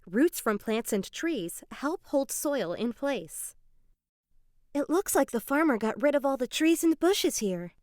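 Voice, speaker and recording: A woman giving an expressive, explanatory dramatized reading of an educational children's story. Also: high voice, a little slow, dry recording, clean studio sound. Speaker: children's book reader.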